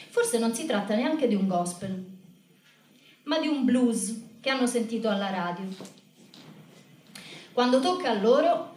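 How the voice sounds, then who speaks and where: A woman telling a scripted story in a stage show, in a measured, reflective manner. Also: medium pitch, darkish tone, a little hiss or hum. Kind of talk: scripted spoken-word narration in a theatre show. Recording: echoey room, distant microphone.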